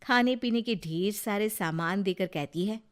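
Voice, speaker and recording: A woman narrates a cartoon moral story at an ordinary pitch. She keeps a calm, even storytelling tone. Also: unhurried.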